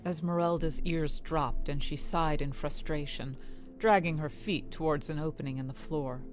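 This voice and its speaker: A storyteller narrates scripted fantasy fiction. The voice is mid-pitched, and music is clearly heard behind it. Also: steady tone, moderate pace.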